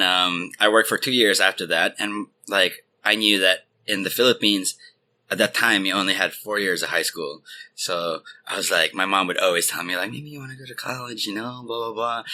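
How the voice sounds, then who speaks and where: A man in his thirties, from Hong Kong, chats as an interview guest. His voice sits low yet comes across bright and forceful. He tells his stories loosely, with plenty of fillers. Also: a little hiss or hum. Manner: rambling, relaxed storytelling with many fillers. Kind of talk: casual interview chat.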